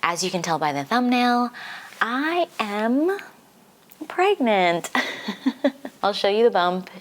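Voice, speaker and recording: A woman's voice: medium pitch, very animated, slow pace. A young woman chatting informally and giggly in a casual vlog, saying "like" often, her voice slightly congested from getting over the flu. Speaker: book vlogger.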